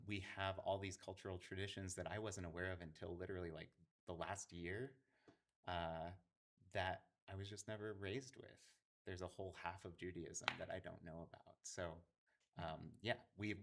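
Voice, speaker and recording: A man talking earnestly in a casual conversation, thinking aloud with fillers and restarts. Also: sits low.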